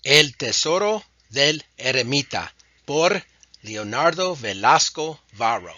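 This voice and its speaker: A man announcing award categories and book titles in a slow, measured way. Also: medium pitch, sing-song, sharp tone, no echo. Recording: dull lo-fi dry recording.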